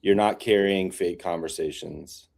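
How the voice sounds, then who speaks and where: Low-voiced man in casual conversation. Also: fairly even intonation, unhurried, vocal fry, a little mellow. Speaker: podcast guest, real estate leader.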